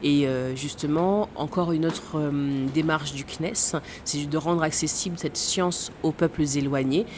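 A woman's voice: low-pitched, steady tone, unhurried, few pauses, background noise. A woman in her thirties from the Caribbean who works as a tour guide, explaining with frequent fillers and occasional laughs. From born in Guadeloupe, raised in French Guiana. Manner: explanatory, hesitant with many fillers, laughs now and then. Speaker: tour guide at a space center. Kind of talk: interview answers.

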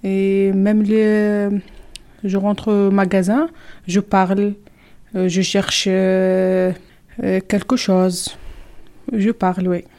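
A young woman from Algeria whose first language is not French, answering interview questions slowly in simple, halting phrases with many hesitations. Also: mid-range voice, completely flat delivery, dark tone.

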